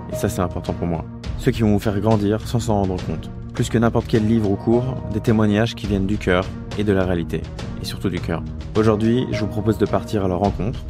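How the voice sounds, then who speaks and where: A man hosting an interview podcast, delivering an earnest, emphatic introduction and questions. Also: lowish voice. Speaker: podcast host. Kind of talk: scripted intro, then interview.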